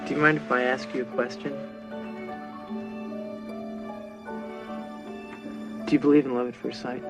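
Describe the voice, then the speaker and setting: Man as a bashful, halting young suitor over music. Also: mid-range voice, level tone, natural voice. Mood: tender. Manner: halting, boyishly earnest. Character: bashful, awkward, lovesick young man, Aladdin. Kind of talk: fairy-tale TV acting. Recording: music playing under dialogue.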